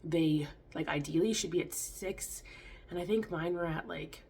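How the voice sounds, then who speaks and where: Low-voiced stuffy-nosed woman vlogger. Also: moderate pace, gravelly, darkish tone, a little hiss or hum. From Canada. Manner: rambling, lots of "like" and restarts. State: congested, stuffy nose. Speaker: fitness vlogger. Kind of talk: casual chat to camera.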